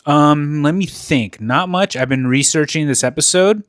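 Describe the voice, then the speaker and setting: A man's voice: fairly high pitch, normal speed, fairly bright tone, dry recording. A man co-hosting a casual podcast chat, rambling loosely and swearing as he talks into a studio mic. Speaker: podcast co-host.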